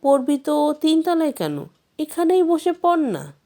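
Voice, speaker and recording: A woman's voice: high voice, very animated, unhurried, warm tone, voice pushed up, exaggerated voice. A woman voicing a watchful, teasing uncle who questions and coaxes children in a storybook tale. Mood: questioning.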